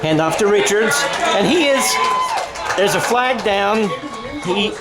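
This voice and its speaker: A man's voice: high-pitched, lively intonation, takes it slowly, poor audio. Male American sports commentator, conversational radio play-by-play, self-correcting delivery, live crowd behind. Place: outdoor high school football game.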